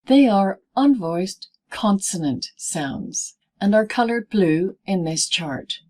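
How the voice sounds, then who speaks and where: A woman presenting a scripted pronunciation lesson as a teacher, speaking in deliberate, clearly segmented phrases. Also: mid-range voice, expressive, darkish tone. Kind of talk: scripted instructional narration in easy English.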